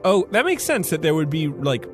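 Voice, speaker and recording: A man co-hosting a podcast in casual comic banter, asking halting, incredulous questions. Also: on the high side, big pitch swings, over music. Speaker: podcast co-host.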